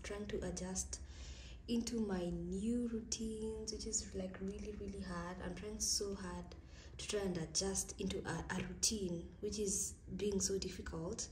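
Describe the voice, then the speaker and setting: Raspy-voiced Kenyan woman vlogging at home. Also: medium pitch, a little slow. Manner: relaxed, rambling, often drops to a soft near-whisper. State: raspy morning voice. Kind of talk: casual vlog chat. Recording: echoey room with faint background noise.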